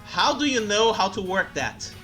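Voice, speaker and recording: A male streamer from Brazil, speaking English, chatting excitably and getting flustered. His voice is bright and forceful, fairly high. Faint game music sits behind him. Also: normal speed. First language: Portuguese. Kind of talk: live game commentary and chat.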